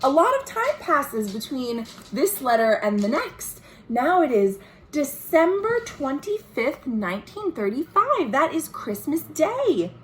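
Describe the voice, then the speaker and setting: A woman with a fairly high voice leads a children's storytime, reading very slowly and pausing to point out pictures. Her melody swings widely and expressively. It is a home recording with faint noise behind her. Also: very animated, rough recording. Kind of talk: picture book read-aloud with asides to young listeners.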